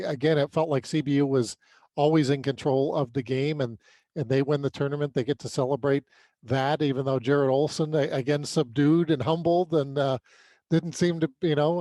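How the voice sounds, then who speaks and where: A man's voice: fairly high pitch, warm tone, dry recording. A man with an American accent talking through sports results in a casual way as a podcast co-host. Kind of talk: casual sports recap commentary.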